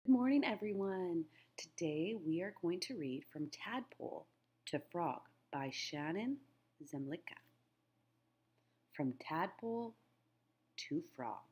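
A woman's voice: on the low side, lively intonation, takes it slowly, darkish tone, a little hiss or hum. A middle-aged woman teacher reading a children's nature book aloud, phrasing things simply and putting questions to young listeners.

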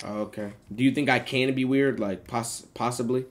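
A man's voice: mid-range voice, steady tone, moderate pace, crowd noise. A young American man bantering casually in slang with strangers, as the host of random video chats. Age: young adult. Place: small room indoors.

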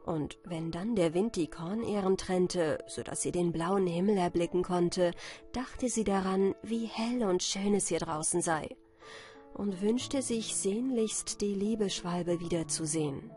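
A woman's voice: on the low side, unhurried, mellow. A woman narrating a bedtime fairy tale as a scripted audiobook. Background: music.

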